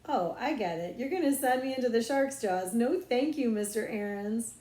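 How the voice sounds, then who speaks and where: A bold, imaginative girl with regal airs, acted out by a woman reading a storybook. The voice is higher-pitched and mellow, with lofty phrasing. The room echoes around it. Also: expressive, normal speed, close to an everyday voice. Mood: incredulous, defiant. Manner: lofty mock-archaic speech. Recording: roomy echo.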